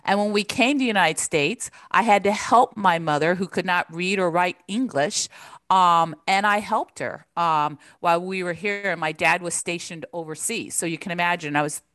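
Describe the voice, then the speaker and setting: A middle-aged woman whose first language was Japanese speaks into a desk mic over a video call. Her voice is fairly low and the sound is dry and close. She answers in an earnest, measured way. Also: fairly bright tone, no echo. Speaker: professor, interview guest. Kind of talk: conversational interview answers. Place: home living room.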